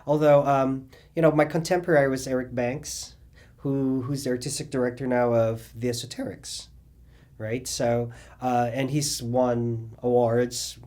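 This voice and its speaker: Middle-aged man, animated storyteller, lots of fillers, mid-range voice. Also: mid-range voice. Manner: rambling, animated storytelling with many fillers and restarts. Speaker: interviewee, cabaret singer and drag performer. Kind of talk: oral history interview.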